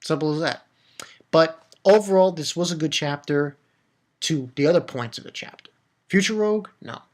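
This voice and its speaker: Casual vlogger voice for a manga rant: medium pitch, blunt, foul-mouthed, mocking, animated. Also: normal speed.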